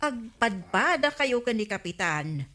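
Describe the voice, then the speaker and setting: A gossipy village neighbour with an Ilocano accent. She complains and prods with lively swings of pitch and a bright, forceful tone. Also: unhurried, full character voice. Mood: gossiping. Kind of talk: regional radio comedy drama acting.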